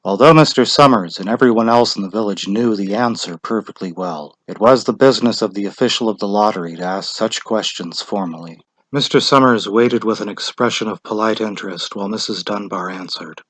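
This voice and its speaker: A man narrating a short story as a dramatic reading, measured and deliberate. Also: on the low side, a bit bright.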